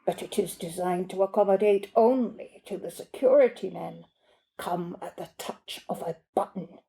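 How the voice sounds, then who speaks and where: A woman's voice: on the low side, normal speed, creaky, dark tone, poor audio. A woman voicing a pompous, snappish male butler in a literary story reading, condescending and florid in speech. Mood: lecturing. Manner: pompous, clipped, lecturing.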